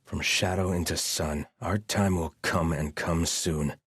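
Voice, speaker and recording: Haughty low-voiced soldier. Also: male voice, steady tone, measured pace, fairly bright tone, husky, no room sound, clean studio sound, heavily acted. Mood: haughty, solemn. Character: haughty, devout male soldier of a sun-worshipping empire. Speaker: game voice actor. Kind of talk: scripted video game barks and lines.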